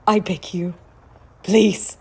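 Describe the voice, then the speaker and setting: A woman's voice: medium pitch, steady tone, slow pace, warm tone, husky. A woman playing a grieving, headstrong young woman with formal, pleading diction in a theatrical audio drama.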